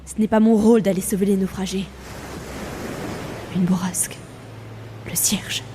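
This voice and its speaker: A woman's voice: mid-range voice, fairly even intonation, a little slow, noisy background, natural voice. A woman playing Death as a weary reaper burdened by the role, in a grave, theatrical audio drama monologue. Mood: brooding, torn. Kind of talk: narrated audio fiction, dramatic first-person monologue. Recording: music behind the voice.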